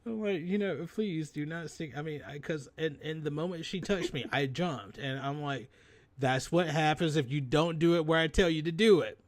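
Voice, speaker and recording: A man co-hosting a podcast in casual, bawdy chat, telling stories in a sassy, animated way. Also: a little high, normal speed. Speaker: podcast co-host.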